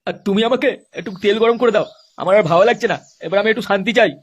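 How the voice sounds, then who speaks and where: An actor voicing a grumpy, foul-mouthed old grandfather in a comic cartoon, rough and scolding. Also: medium pitch, rapid-fire, lightly acted. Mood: irritated. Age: older. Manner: scolding, blustering.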